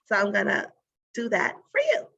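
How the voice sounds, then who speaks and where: A woman teacher giving a read-aloud lesson in an instructive way, pausing to think aloud and pose guiding questions. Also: medium pitch, wildly swooping pitch, gravelly, sharp tone, dull audio.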